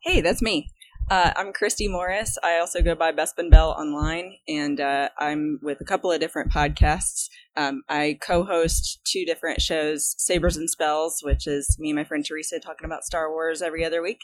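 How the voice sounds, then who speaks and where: A woman podcast co-host introducing herself on a fan panel, steady with many "uh"s. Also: sits low, moderate pace, no room sound, poor audio. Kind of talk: convention panel chat.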